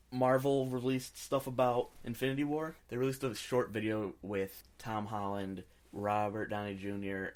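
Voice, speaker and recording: A man co-hosting a podcast, chatting casually about pop culture and giving his opinions freely. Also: lowish voice. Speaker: podcast co-host.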